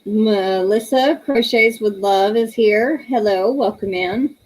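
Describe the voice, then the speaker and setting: A woman's voice: mid-range voice, takes it slowly, darkish tone. A woman, hosting a casual livestream, chatty and laughing often as she reads viewer comments aloud. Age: 30s. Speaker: livestream host.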